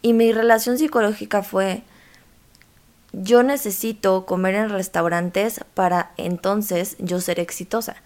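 A woman's voice: medium pitch, fairly even intonation, a little slow, a little mellow. A woman with a Mexican accent hosting a conversational podcast, speaking informally and straight to the listener. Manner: informal, addresses listener directly. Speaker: podcast host.

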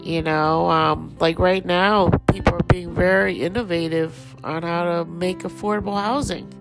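Thai-accented lady doing her daily astrology talk, kinda low, bit of fry, faint music under it. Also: unhurried, rough recording. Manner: relaxed, rambling, conversational. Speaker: astrology host. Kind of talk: casual daily astrology forecast.

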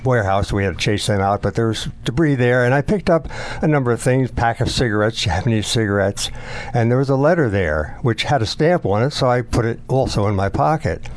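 A man in his nineties, a war veteran, recalling memories in an interview in a halting, reflective way. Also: medium pitch, animated. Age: 90s.

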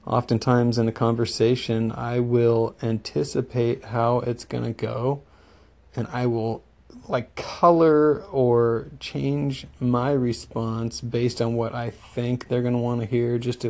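Man with flu leaving voicemail, slow halting talk, gravelly, flat. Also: mid-range voice. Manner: hesitant, halting, with many fillers. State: sick with the flu. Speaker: caller. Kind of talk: recorded voicemail message.

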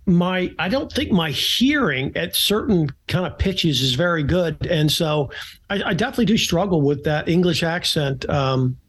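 Male podcast guest, slight Philly accent, fairly high voice, laid-back and reflective delivery. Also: animated, moderate pace, husky. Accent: slight Philadelphia. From Philadelphia area, United States. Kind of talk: casual podcast chat.

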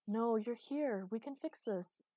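A woman voicing a desperate, fierce, commanding heroine in a dark fantasy audiobook, emotional and insistent. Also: a little high, unhurried, dry recording, pitched above the performer's own voice. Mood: pleading. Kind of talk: audiobook character acting.

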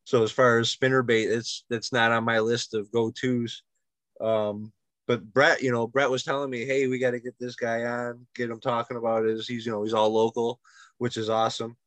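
A man's voice: mid-range voice, little pitch movement, normal speed, fairly bright tone, no echo. A man hosting a casual interview, friendly and encouraging. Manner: friendly, encouraging, asks questions. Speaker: interview host.

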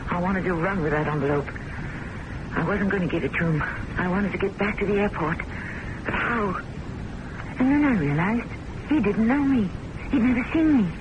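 A woman's voice: medium pitch, normal speed, long pauses, muffled recording, natural voice. An actress playing a frantic, suspicious woman in an old-time radio drama, speaking with dramatic, insistent intensity. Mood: distraught, recounting. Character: frantic, suspicious woman recounting a spy ordeal. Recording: noisy old radio broadcast recording.

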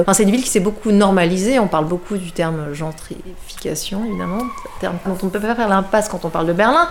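A woman's voice: on the low side, darkish tone, a little hiss or hum. A woman in her forties from France, talking casually and hesitantly about herself as an interviewee. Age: 40s. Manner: hesitant, frequent fillers. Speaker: freelance journalist, interviewee. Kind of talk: casual spoken testimony.

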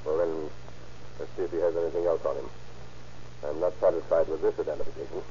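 An actor playing a brisk, commanding homicide captain in old radio crime drama style, clipped and authoritative. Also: male voice, very deep voice, little pitch movement, dark tone. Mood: probing. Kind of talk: 1930s-40s radio crime drama acting. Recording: muffled, noisy old-time radio recording.

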